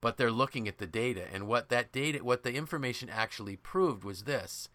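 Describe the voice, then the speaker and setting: A man's voice: medium pitch, brisk, a bit bright, no echo. A man hosting a podcast, explaining ideas from a book in a conversational, emphatic way. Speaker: podcast host.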